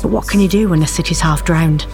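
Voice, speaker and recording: A woman's voice: deep voice, fast talker, a little mellow, faint music behind. An actress playing a bereaved middle-aged mother in an audio drama, confiding her story in a plain-spoken, wry and bitter way. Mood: grieving, earnest. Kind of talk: audio drama narration, intimate monologue.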